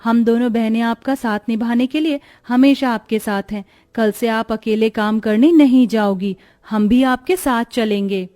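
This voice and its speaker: A woman's voice: a little high, a little slow, few pauses, warm tone, natural voice. A woman voicing a caring, dutiful young village daughter in a cartoon story, with an earnest, solicitous manner.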